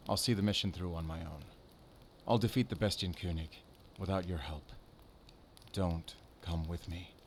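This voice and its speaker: A man playing a brooding, tender but guarded werewolf hunter in an intimate audio roleplay, speaking softly and haltingly. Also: sits low, a little hiss or hum. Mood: conflicted, resolute. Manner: soft, halting, intimate, earnest delivery.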